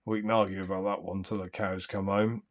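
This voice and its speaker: A man hosting a live stream, chatting in a calm, soothing, easygoing way and reading out viewer comments. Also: low voice, fairly quick. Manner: rambling, easygoing, chuckles often. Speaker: livestream host.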